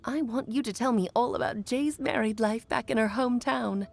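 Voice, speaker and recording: A woman voicing a playful, wise, centuries-old mage in a video game, telling her tales theatrically with emphatic pauses. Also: fairly high pitch, gravelly. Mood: warm, reflective.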